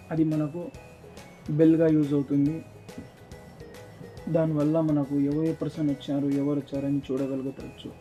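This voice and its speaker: A man's voice: on the high side, warm tone. A man gives an informal, explanatory product demonstration, repeating points as he addresses viewers. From South India. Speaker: presenter for a security systems shop. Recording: dull lo-fi sound with music behind.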